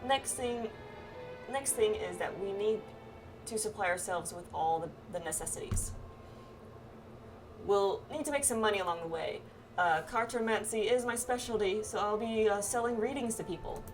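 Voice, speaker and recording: A woman playing a game with friends, reading its dialogue aloud casually and haltingly, with reactions of her own. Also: mid-range voice. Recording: game music behind the voice. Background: video game music.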